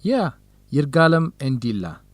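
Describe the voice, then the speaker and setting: An actor playing a kind, modest young researcher in an educational radio drama, speaking in measured, simple phrases. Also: male voice, on the high side, very animated, dry recording. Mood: informative.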